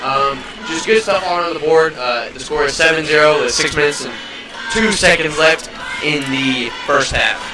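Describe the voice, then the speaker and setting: A man's voice: on the high side, moderate pace, over music. Filler-heavy color commentator man. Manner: loose, filler-heavy, upbeat praise. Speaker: color analyst. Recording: echoey, distant mic, loud crowd.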